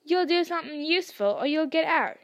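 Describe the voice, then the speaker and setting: A woman voicing a huge, gruff, kindly male gamekeeper who talks bluntly. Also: sits high, expressive, normal speed, fairly bright tone.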